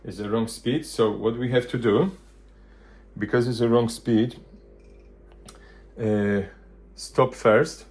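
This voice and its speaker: A man presenting a casual hands-on product demonstration, thinking aloud in loose, halting phrases. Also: mid-range voice, darkish tone. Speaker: vintage hi-fi video presenter.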